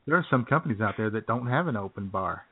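A man's voice: on the low side, lively intonation, fast talker, husky, phone-quality sound. A man hosting a comedy radio show in casual, irreverent banter with mock indignation, on thin-sounding audio.

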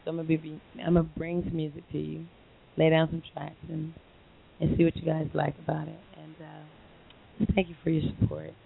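Hoarse woman hosting over a phone line. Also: sits low, completely flat delivery, normal speed. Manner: rambling, chatty, full of fillers and restarts. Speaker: internet radio host. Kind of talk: casual live call-in show talk. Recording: lo-fi phone line with faint hiss.

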